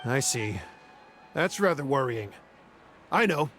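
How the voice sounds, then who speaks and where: A man's voice: mid-range voice, very animated, takes it slowly, fairly bright tone, soft background music. A man voicing a friendly, thoughtful working townsman in a video game. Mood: thoughtful, concerned. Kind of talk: scripted game dialogue.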